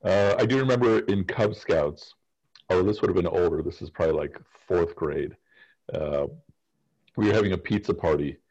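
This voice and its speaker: A man's voice: low-pitched, a little mellow, husky, muffled recording. A man leading a class discussion as professor over a video call, asking students questions in a casual, conversational way. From United States.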